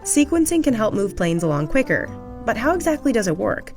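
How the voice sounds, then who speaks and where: A woman's voice: a little high, sing-song, a little fast, darkish tone. A woman reading scripted documentary narration in an explanatory manner. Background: background music.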